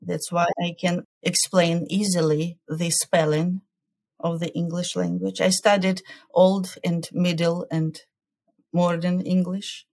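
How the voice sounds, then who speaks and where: A woman, a culture coach answering interview questions in a careful, measured way with hesitant fillers. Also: on the low side, little pitch movement, creaky, a little mellow. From Ukraine.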